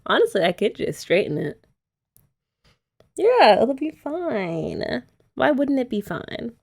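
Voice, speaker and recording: A woman's voice: fairly high pitch, big pitch swings, slow pace, creaky, a little mellow. A young woman doing girlfriend roleplay ASMR, chatty and teasing with rambling asides. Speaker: ASMR creator.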